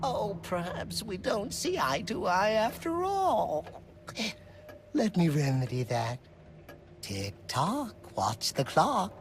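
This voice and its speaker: An unhinged, pompous game villain with theatrical formality. His pitch leaps wildly between fussy and manic, in a cavernous echo with music playing behind. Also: big pitch swings, slow, heavily acted. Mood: giddy menace.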